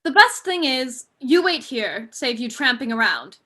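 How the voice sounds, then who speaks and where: A chatty young lady of the manor in a stage play, acted by a young woman. Her high voice swings animatedly, and she gossips breezily with a bright edge. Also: sing-song, unhurried, heavily acted. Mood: breezy, helpful. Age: young adult. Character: chatty, breezy young daughter of a country house.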